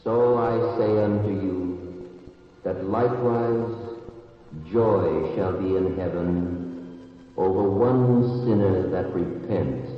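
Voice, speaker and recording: Compassionate preaching master, vintage radio scripture oration, slow, low voice booming from far off. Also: male voice. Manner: solemn, measured. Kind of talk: old-time radio drama acting, scriptural oration. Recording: muffled, distant, cavernous reverberant hall.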